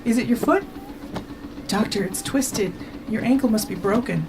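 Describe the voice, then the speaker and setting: A woman's voice: on the high side, moderate pace, noisy background, natural voice. A woman playing a practical, worried companion in an audio drama, questioning and no-nonsense in manner. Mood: concerned. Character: practical, anxious female companion of a time traveller.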